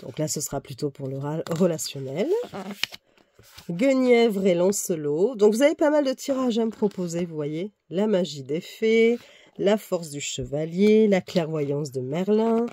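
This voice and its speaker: A woman's voice: fairly low pitch, dark tone. A woman with a head cold, speaking slightly through her nose, chatting casually as she reviews a tarot deck and reads passages aloud, with fillers and self-corrections. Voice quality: slightly nasal. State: congested, has a cold.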